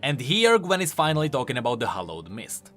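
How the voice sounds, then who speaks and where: A man's voice: medium pitch, wildly swooping pitch, a little fast, a bit bright. A man hosting a game lore video, giving emphatic, explanatory voice-over commentary. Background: faint music.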